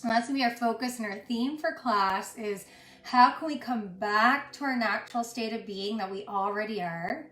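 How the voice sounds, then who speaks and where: A woman teaching yoga and guided meditation in a soothing, unhurried way, pausing between short phrases. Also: on the high side, a bit bright. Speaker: yoga instructor. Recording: roomy echo with music underneath. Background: music.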